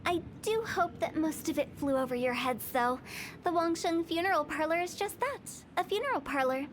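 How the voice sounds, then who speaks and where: A woman's voice: extremely high pitch, expressive, moderate pace, a little hiss or hum. A woman voicing a quirky, cryptic teenage girl funeral director in a video game, with a theatrical, articulate delivery. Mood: solemn, cryptic. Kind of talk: video game voice acting, storytelling. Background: game music.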